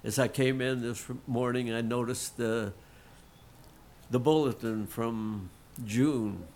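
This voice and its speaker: Middle-aged man, lay pastor, slow and earnest sermon, slightly froggy throat. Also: mid-range voice, steady tone, a little mellow, a little hiss or hum. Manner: slow, earnest, folksy preaching with throat clearing. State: frog in his throat, clearing it.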